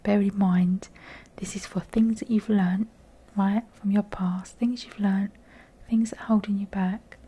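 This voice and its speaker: Husky, whispery woman reading tarot. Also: medium pitch, steady tone, measured pace. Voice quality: husky, breathy, croaky, often near a whisper. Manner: gentle affectionate address, chatty asides. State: croaky voice, low on energy. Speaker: tarot card reader and channel host. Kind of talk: intimate, informal tarot reading addressed to viewers.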